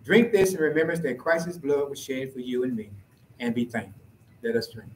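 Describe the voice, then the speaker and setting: A man serving as pastor, reciting a communion liturgy in a slow, solemn, measured way from the pulpit of a church sanctuary. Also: medium pitch, animated, slight background noise. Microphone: pulpit mic from far camera. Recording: roomy church echo.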